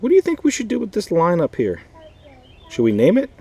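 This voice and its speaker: A man's voice: high-pitched, huge pitch swings, brisk, dark tone, breathy edge. An American man teaching an informal math lesson as a schoolteacher, repeating key points and asking checking questions. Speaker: fourth grade math teacher.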